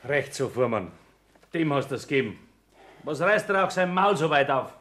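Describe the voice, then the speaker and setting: A man's voice: mid-range voice, lively intonation, normal speed, a bit bright, a little hiss or hum, lightly acted. A man acting a needling, opportunistic tavern regular in a 1960s German radio play. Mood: egging on.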